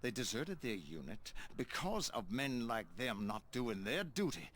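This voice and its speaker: An actor playing a proud, vengeful Confederate colonel with a Southern US accent and pompous, indignant delivery. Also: male voice, mid-range voice, big pitch swings, a little slow, a bit bright, a little hiss or hum. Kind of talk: cinematic Western game acting.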